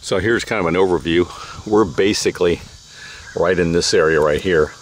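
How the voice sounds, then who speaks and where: A man's voice: deep voice, normal speed, slight background noise. A man chatting casually as a travel vlogger behind the camera, with a jokey, teasing manner. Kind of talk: casual vlog chat. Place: outdoors at a winery patio and park trail. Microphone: handheld camera mic.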